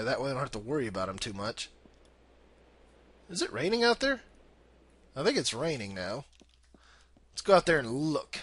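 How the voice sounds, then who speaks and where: A man's voice: on the high side, a bit bright, no echo. A young man giving casual, rambling gameplay commentary in an informal way, swearing freely. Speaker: video game let's play host.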